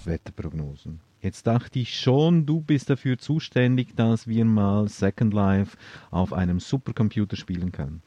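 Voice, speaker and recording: A man with a very deep, mellow voice hosts an interview and asks his guest teasing, joking questions. The sound is dry and close. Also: animated, moderate pace, dry recording, dull audio. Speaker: podcast host. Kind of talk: hosted interview.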